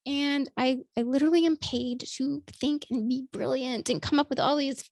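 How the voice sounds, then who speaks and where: Woman vlogger, high-pitched and a bit strained, casual and filler-heavy, close and dry. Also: normal speed, gravelly, a little mellow, no echo. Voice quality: naturally high voice. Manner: chatty, many ums, calls listeners folks. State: voice still a little strained after illness. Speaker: vlogger and writer. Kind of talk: casual talk to camera.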